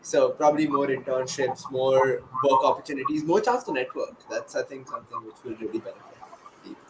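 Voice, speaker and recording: A man's voice: medium pitch, brisk. A young adult man speaking casually as a panelist, thinking aloud in a conversational way. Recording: echoey, very noisy online call.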